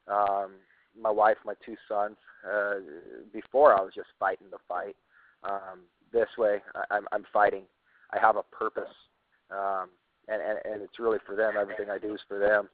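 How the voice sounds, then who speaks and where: Man, tinny phone line, low flat voice, earnest, hesitant, repetitive, fighter being interviewed. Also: moderate pace, no echo. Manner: hesitant, repeats himself, earnest. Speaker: professional fighter. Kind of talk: informal, earnest interview answer.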